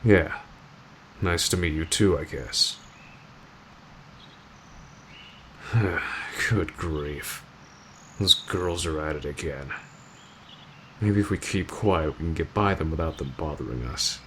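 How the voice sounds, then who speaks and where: A man playing an aloof, stoic teenage delinquent boy, curt and deadpan, in an ASMR roleplay addressed to the listener. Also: rumbling low, slow pace, slight background noise, pitched below the performer's own voice, heavily acted. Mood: weary, irritated.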